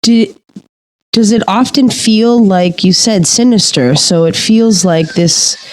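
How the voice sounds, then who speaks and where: An actress playing an eager, credulous theatre member investigating a ghost in an audio play, with an earnest, halting, intrigued way of talking. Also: female voice, medium pitch, darkish tone, lightly acted, low-quality recording. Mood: earnest, uneasy. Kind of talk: audio play acting, interview-like conversation.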